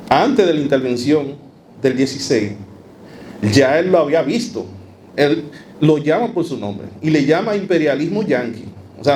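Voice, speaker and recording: A man with a Dominican accent giving an academic lecture to a live audience, emphatic and halting, repeating phrases. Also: on the high side, a little mellow. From Dominican Republic. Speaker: academic speaker. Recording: live talk with noticeable background noise.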